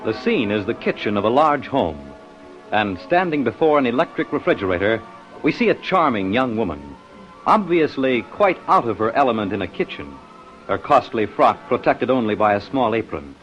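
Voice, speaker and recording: A man's voice: medium pitch, sing-song, normal speed, fairly bright tone, lo-fi sound. A man narrating a 1950s radio detective drama as its dramatic announcer. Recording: old band-limited radio recording, music behind.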